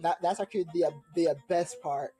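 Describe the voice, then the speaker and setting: A man hosting a reaction video with casual, halting commentary, often repeating words and phrases. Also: fairly high pitch, warm tone, soft background music.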